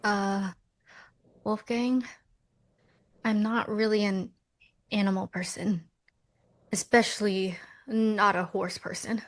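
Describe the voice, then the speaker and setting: An actress playing a nervous, guarded young American woman, hesitant and halting, in a romcom table read. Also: mid-range voice, fairly even intonation, lightly acted.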